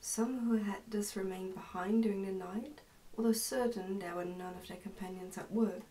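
A young woman reads a storybook aloud in a soft, hushed, careful ASMR style. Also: mid-range voice, steady tone, moderate pace, far from the mic. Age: young adult. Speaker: ASMR narrator reading a book aloud. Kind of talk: ASMR storybook reading, soft-spoken and whispery. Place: indoors, small plain room.

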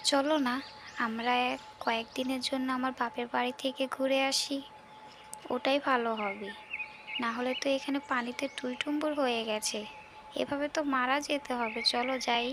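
A woman's voice: high-pitched, steady tone, normal speed, noisy background, lightly acted. A woman playing a doubtful, practical village wife in a cartoon. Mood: worried. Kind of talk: Bengali cartoon character voice acting.

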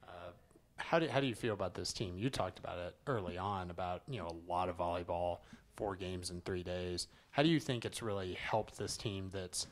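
A man's voice: sits low, normal speed. A man, a reporter, asking long winding questions full of fillers at a press conference.

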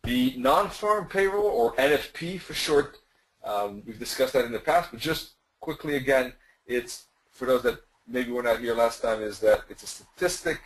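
Webinar presenter, male, mid-pitched, voice going hoarse, casual and full of fillers. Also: animated, normal speed, poor audio. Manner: loose, conversational, with many fillers and restarts. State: losing his voice, clearing throat. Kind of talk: screen-share webinar explanation.

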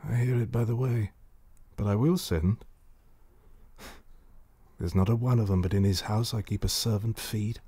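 A man's voice: on the low side, moderate pace, long pauses, warm tone, breathy edge. An actor playing a guilt-haunted, volatile king in a classical Shakespearean radio drama, with a theatrical, declamatory manner. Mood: brooding, grim. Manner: theatrical, declamatory, swinging between outcry and brooding.

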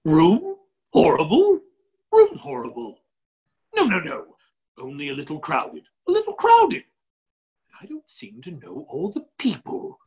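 A woman's voice: lowish voice, huge pitch swings, a little slow, long pauses, darkish tone. A woman playing a bumbling, absent-minded duke in a play reading, rambling and repeating words. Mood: muddled.

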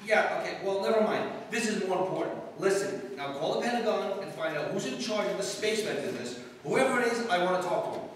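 A man playing a brash, self-serving boyfriend in an old-time radio drama, brisk, bossy and excitable. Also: high voice. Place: live stage in a hall, distant audience camera. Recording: echoey hall stage from far off, noisy.

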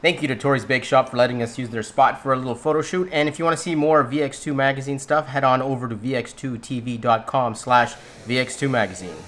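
A man's voice: medium pitch, steady tone, fairly bright tone, slight background noise. A man in his thirties hosting a casual behind-the-scenes vlog, chatting and coaching a model in a small coffee shop. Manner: chatty, upbeat, coaxing a model with quick praise. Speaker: photographer and vlog host. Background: music.

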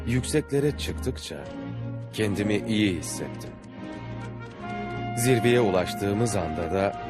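A man playing an earnest, adventurous traveller who formally narrates his journeys in a dubbed cartoon. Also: lowish voice. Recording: music behind the voice. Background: music.

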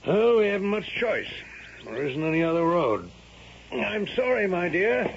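An actor playing a cautious middle-aged gentleman father, with formal dramatic diction, in a radio play. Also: sits high, very animated, measured pace, heavily acted. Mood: concerned. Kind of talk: 1970s radio drama acting and narration. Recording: lo-fi noisy vintage radio recording.

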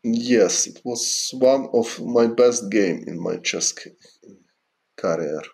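A middle-aged man from Ukraine with a Ukrainian accent, chatting casually on a chess stream in halting English with many fillers. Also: on the low side, gravelly, a little mellow. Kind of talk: casual livestream chat answering viewers.

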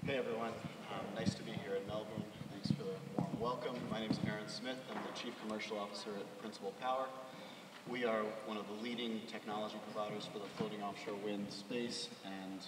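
A man introducing himself on a conference panel, brisk and upbeat. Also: fairly low pitch, fairly even intonation. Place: large conference hall stage. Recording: distant echoey hall with background noise.

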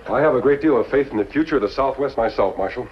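A man's voice: medium pitch, fast talker, slight background noise, lightly acted. A man playing an upright, courteous masked cowboy hero with firm, formal diction in 1950s western style. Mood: earnest, polite. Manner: firm, formal, clearly articulated. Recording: muffled vintage TV soundtrack, faint music.

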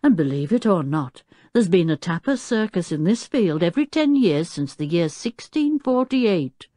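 A woman's voice: on the low side, very animated, moderate pace, warm tone, dry recording, studio quality. A woman voicing a confident, folksy old male circus owner in a children's storybook. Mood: firm, dismissive. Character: fierce-looking but good-natured old circus-owner grandfather.

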